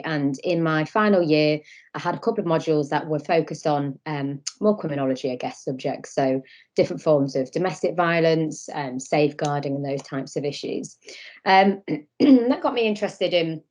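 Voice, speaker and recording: Croaky-voiced woman presenting on a video call. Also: deep voice, a little mellow. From England. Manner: hesitant, many fillers and restarts. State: croaky voice from a cold. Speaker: academic conference speaker. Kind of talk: online conference presentation.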